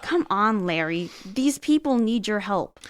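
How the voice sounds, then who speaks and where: A woman acts out a persistent, unflappable secretary in a radio drama. Her medium-pitched voice is brisk and matter-of-fact, recorded very dry. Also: lively intonation, no room sound, lightly acted. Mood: insistent. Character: Greta, bossy, persistent young female secretary, unflappable.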